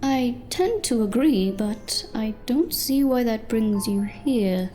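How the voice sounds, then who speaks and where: A woman's voice: a little high, lively intonation, slow, warm tone, soft background music. A woman playing a polite, uneasy owner of a small diner in an audio drama. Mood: uneasy, guarded. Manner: polite, hesitant.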